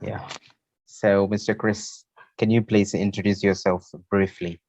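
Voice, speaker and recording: Muffled, low-voiced Indian man hosting. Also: flat delivery, a little slow, darkish tone, a little hiss or hum. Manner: polite, formal wording. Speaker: talk show host. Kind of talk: formal interview questioning. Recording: muffled, lo-fi internet call.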